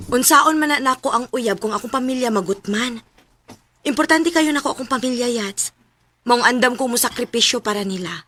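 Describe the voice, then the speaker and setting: A young working woman in a Cebuano radio drama. Her voice is on the high side. She comes across as earnest, hardworking and devoted to family duty. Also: moderate pace, fairly bright tone. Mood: dutiful, weary. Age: young adult. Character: hardworking, self-sacrificing young female breadwinner telling her story. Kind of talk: radio drama acting and narration.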